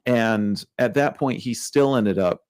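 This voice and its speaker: Low-voiced man telling a story. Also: slow pace, a little mellow, no echo. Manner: chatty, lots of like and you know, wry. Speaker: podcast co-host. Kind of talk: casual storytelling chat.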